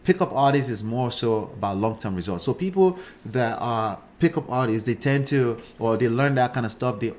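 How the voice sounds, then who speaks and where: A man's voice: mid-range voice, moderate pace, few pauses, a little mellow. A man in his forties with a Nigerian accent hosts a live show, giving casual commentary and often checking in with short tags like "right" and "okay". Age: 40s.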